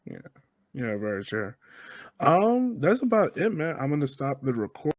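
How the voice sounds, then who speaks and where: A man's voice: medium pitch, big pitch swings, normal speed, no room sound, studio quality. A man hosting a podcast interview in a casual, informal, slangy way. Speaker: podcast host.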